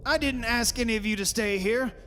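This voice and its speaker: A male actor plays a blustering, sceptical father in an old-time radio horror play. His voice is high and forceful, insistently arguing. It rings through a reverberant hall with clear hiss. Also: a little fast. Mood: defiant. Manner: insistent, argumentative. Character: stubborn sceptical father, proud and blustering, rationalising away terror. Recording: hissy recording in a reverberant hall.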